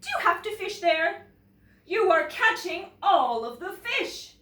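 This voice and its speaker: Woman voicing an emphatic, animated storybook bear, echoey room. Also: very high-pitched, a little slow, recorded from a distance, pitched above the performer's own voice, exaggerated voice. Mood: miffed. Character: hungry, grumpy but generous male storybook bear. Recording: roomy echo, away from the mic.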